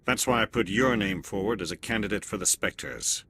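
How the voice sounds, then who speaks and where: An actor playing a male turian alien agent in a video game cutscene, calm and clipped with quiet authority. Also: fairly low pitch, moderate pace, a little hiss or hum. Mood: measured. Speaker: elite agent observing a mission.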